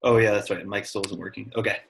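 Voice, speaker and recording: A man with a fairly low voice leads an online class as the teacher. His talk is casual and encouraging, addressed directly to his students. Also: fairly even intonation, fast, gravelly, a bit bright, slight background noise. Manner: encouraging, informal. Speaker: science teacher.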